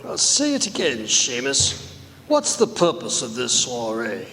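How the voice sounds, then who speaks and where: A portly, pompous English talent agent, haughty and impatient in a stage radio play. His English-accented speech is slow and swoops wildly up and down, ringing in a reverberant hall. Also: medium pitch, wildly swooping pitch, pushed and bright, slight background noise, exaggerated voice. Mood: haughty, indignant. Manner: pompous, drawn-out, swooping delivery. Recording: very echoey hall.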